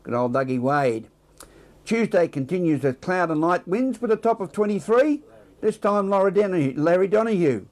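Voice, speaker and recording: A man's voice: sits high, lively intonation, normal speed, a little mellow. An Australian man presenting local news and weather from a script, with the odd casual aside.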